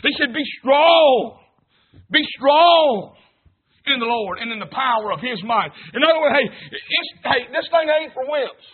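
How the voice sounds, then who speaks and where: A man's voice: extremely high pitch, brisk, hardly any pauses, slightly rough. A man preaching an emphatic revival sermon, punctuating lines with "hey" and "amen". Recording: tinny phone-like sound.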